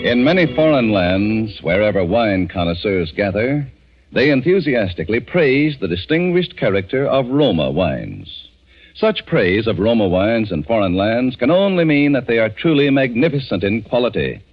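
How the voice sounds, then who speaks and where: A man's voice: on the low side, sing-song, moderate pace. An American man reading a scripted 1940s radio advertisement as a polished, emphatic, salesmanlike announcer. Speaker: commercial announcer. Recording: muffled 1940s radio broadcast.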